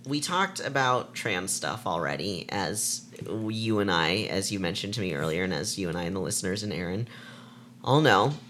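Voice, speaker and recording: A young adult podcast co-host with a remarkably deep, low voice. He rambles through his points, searching for words and often trailing off. A faint hiss of background noise sits under the recording. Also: lively intonation, normal speed, a bit bright. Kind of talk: casual chat.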